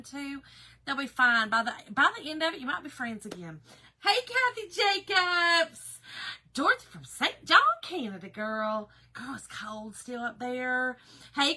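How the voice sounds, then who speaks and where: A middle-aged woman with a Southern Georgia accent, a little hoarse, hosting a craft livestream in a folksy, chatty way. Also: sits high, pushed and bright. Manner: folksy and chatty, calling viewers "girl" and "y'all". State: slightly hoarse. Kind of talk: casual live-stream chat greeting viewers.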